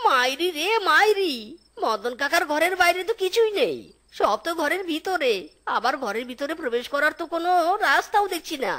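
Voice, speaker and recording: A woman voicing a squeaky, cocky young cartoon trickster critter. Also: animated, brisk, sharp tone. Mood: scheming. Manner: exclamatory, boastful, repeats a catchphrase. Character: high-voiced cocky young male trickster animal, boastful and mischievous. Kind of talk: comic cartoon acting.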